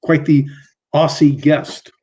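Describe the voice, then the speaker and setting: Man, middle-aged, stutters, halting repeats, interview guest, video call. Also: a little high, little pitch movement, mellow. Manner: halting, frequent repetitions and restarts, mild stutter.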